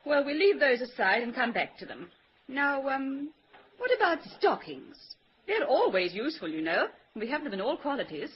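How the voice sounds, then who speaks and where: An eager young shop assistant in a vintage radio serial. Her voice is high and very animated, with polite, proper sales patter, heard on a muffled old recording. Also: moderate pace, a little hiss or hum. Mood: polite, persuasive. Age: young adult. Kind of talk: 1930s Australian radio comedy serial acting.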